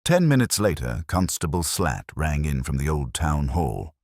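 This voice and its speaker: A man reads a detective story as scripted narration in an extremely deep voice. It has a husky grain and moves around in lively fashion, recorded close and dry. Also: a little slow, no room sound.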